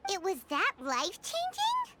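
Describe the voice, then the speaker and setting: Tiny squeaky anime game fairy, super high, curious, swooping all over, noisy audio. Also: female voice, wildly swooping pitch, unhurried, full character voice. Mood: curious, amazed. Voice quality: squeaky, childlike. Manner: refers to self in third person. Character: small childlike floating fairy companion, chirpy and curious.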